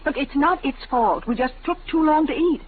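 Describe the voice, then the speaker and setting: High-pitched, sharp-tongued nagging wife. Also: female voice, expressive, fairly quick, narrow, tinny audio. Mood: impatient, scolding. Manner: sarcastic, scolding. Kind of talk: 1950s radio acting. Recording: thin, noisy 1950 radio recording.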